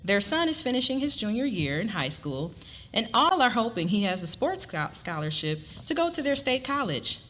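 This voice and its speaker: A woman's voice: a little high, sing-song, normal speed, fairly bright tone. A woman narrating a scripted story expressively at a live dramatic reading. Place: live event before an audience. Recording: live reading with clear ambient noise.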